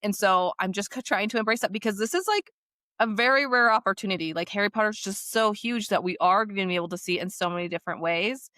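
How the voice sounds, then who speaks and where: A woman's voice: mid-range voice, quick pace, sharp tone, no room sound, clean studio sound. A woman co-hosting a podcast, talking casually and conversationally. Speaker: podcast co-host.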